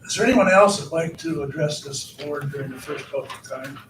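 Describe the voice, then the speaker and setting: A hoarse man chairing a county board meeting, repeating himself and asking the room questions. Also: fairly high pitch, brisk. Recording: echoey hall, far from the mic, background noise.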